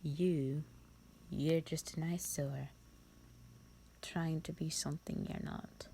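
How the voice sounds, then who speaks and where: A woman voicing a bored, contemptuous female vampire in an audio roleplay, with a breathy, creaky voice and a disdainful, formal drawl. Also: sits low, fairly even intonation, slow pace, warm tone, a little hiss or hum. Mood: bored, haughty. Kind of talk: scripted roleplay monologue for the listener.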